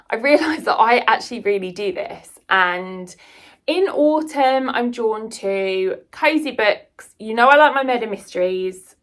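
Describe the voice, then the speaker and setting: A British woman chatting casually and enthusiastically to viewers in a rambling book vlog. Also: mid-range voice. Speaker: book vlogger and writer.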